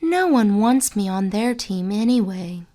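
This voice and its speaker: A young schoolgirl speaks in a small, raised voice, soft and tearful as she pleads. A man narrating a storybook acts her out, slow and careful. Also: high-pitched, expressive, background noise, pitched above the performer's own voice. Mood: quiet. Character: sad sporty young schoolgirl.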